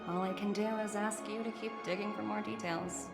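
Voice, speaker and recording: An actress playing a composed, calculating businesswoman in a video game, speaking in a measured, formal manner. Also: medium pitch, steady tone, gravelly, close to an everyday voice. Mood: politely guarded. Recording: echoey, under loud game music.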